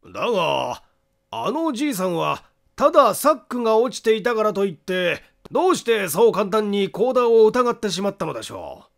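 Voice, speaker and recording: A skeptical police inspector from an audiobook mystery, acted out by a male reader, speaks in a high, gravelly voice that swings widely. He probes with pointed questions and is not easily convinced. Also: very animated, normal speed, full character voice. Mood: skeptical, probing. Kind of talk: dramatized audiobook character voice.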